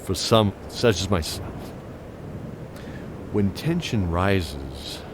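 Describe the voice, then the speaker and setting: Deep-voiced male fantasy narrator. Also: very animated, extremely slow, noisy background. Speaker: narrator and voice actor. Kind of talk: dramatic first-person fantasy narration. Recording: music under the voice. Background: music.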